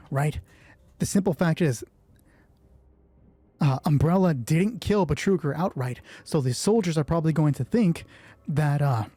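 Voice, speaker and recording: A man's voice: fairly high pitch, mellow, slightly rough, no echo. A man narrating a solo tabletop game as game master, thinking aloud and asking himself questions that he then answers. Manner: deliberating, asks himself questions and answers them.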